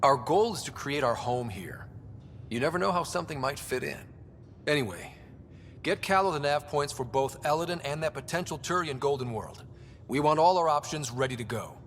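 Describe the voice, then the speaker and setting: An actor playing a level-headed young space expedition leader in a video game, steady and informal. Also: male voice, a little high, moderate pace, a little hiss or hum. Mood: composed, decisive. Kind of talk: video game cutscene acting. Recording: long reverb, faint music behind.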